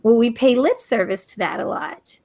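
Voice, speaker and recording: A woman's voice: a little high, lively intonation, normal speed, a little mellow. A woman educator hosting a video call discussion, speaking earnestly and emphatically, often restarting mid-sentence. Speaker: educator, hangout host and author.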